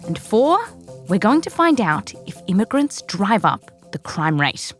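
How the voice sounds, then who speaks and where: A woman's voice: medium pitch, sing-song, a little slow, faint music behind. An Australian woman hosting a science podcast in a scripted narration with playful, jokey asides. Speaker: podcast host.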